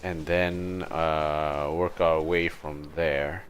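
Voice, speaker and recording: A man's voice: a bass voice, little pitch movement, extremely slow, slight background noise. A man livestreaming a game with casual commentary, thinking aloud and muttering to himself, sometimes reading on-screen text. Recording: game music behind the voice. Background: soft video game music.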